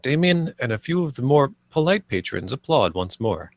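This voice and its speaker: A man's voice: mid-range voice, sing-song, normal speed, gravelly, no echo, studio quality. A man reading out stage directions and scene headings as narrator at a film table read.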